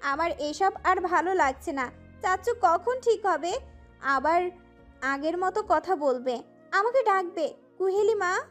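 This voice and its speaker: Woman voicing a very high, weepy young wife, childlike and pleading; faint music under. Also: animated, measured pace, long pauses, fairly bright tone, full character voice. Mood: tearful. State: crying. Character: young wife, tearful, clingy and fragile.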